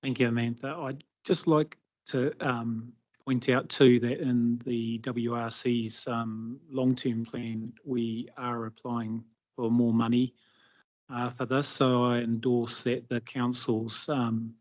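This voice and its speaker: Brief: New Zealand man, mid-pitched, loud, chairing a council meeting, muffled recording. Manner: loud, thanks speakers and invites questions. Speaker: committee chair.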